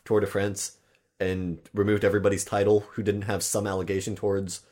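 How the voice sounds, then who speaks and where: A young man co-hosting a casual podcast, talking loudly and laughing often. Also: on the low side, brisk. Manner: loud, animated, laughs often. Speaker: podcast co-host. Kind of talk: casual chat. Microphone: podcast mic with audio levels.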